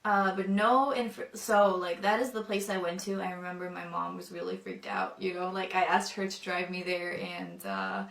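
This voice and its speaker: A woman's voice: on the low side, normal speed, few pauses, a bit bright. Hesitant young woman, roomy distant sound. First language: not English. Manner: thoughtful, hesitant, many fillers. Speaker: convert telling her story. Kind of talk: personal testimony.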